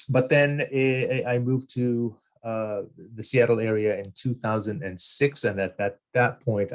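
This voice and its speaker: A man in his forties from Jordan giving a recorded college lecture, measured and deliberate, with frequent ums and restarts and key figures repeated slowly. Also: fairly low pitch, darkish tone.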